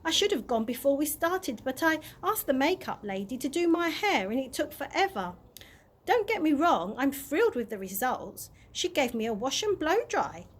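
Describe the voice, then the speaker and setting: A woman's voice: high voice, sing-song, moderate pace. A woman voicing a courteous, cheerful old male tramp who is chatty and oblivious in a storybook reading. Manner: courteous, chatty, proudly well-mannered.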